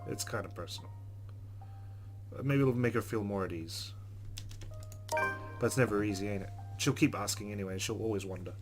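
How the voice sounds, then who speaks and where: Male let's player, lowish voice, measured and subdued reading of game narration, music bed underneath. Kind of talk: reading visual novel narration aloud.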